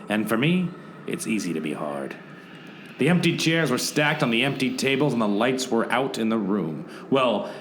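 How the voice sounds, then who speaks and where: A man's voice: on the low side, a bit bright, a little hiss or hum. A man playing a hard-boiled hero who narrates a noir parody audio drama, mock-serious, wry and dramatic. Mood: brooding, wry. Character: hard-boiled male detective hero, earnestly melodramatic. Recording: roomy echo, music underneath.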